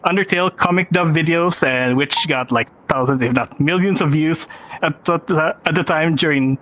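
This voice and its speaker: A man's voice: on the high side, moderate pace. A man with a Filipino accent, presenting at a convention panel with frequent laughs and fillers. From Philippines. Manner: giggly, relaxed, many fillers. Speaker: panel host, filmmaker.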